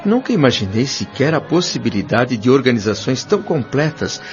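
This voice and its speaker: A man's voice: mid-range voice, very animated, a little fast, a faint crowd, close to an everyday voice. A man playing an awestruck, curious newcomer to the afterlife in an audio drama, full of eager wonder. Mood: amazed. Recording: dull lo-fi audio with music behind.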